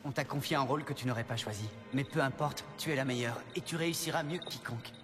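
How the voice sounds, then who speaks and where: An actor playing a devoted, earnest young prince in a cartoon film. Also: male voice, mid-range voice, fast, over music, natural voice. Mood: encouraging. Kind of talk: French cartoon film dub.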